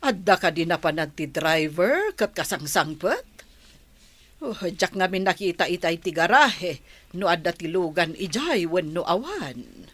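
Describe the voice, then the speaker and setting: A woman's voice: fairly low pitch, big pitch swings, a little slow, fairly bright tone, exaggerated voice. A woman playing a doting, curious and fretful old grandmother in an Ilocano comedy radio drama, with an Ilocano accent. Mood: wondering, concerned. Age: older.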